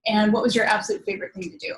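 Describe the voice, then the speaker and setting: A woman moderating a Q&A, reading audience questions aloud in a measured way. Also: mid-range voice, lively intonation, vocal fry, poor audio.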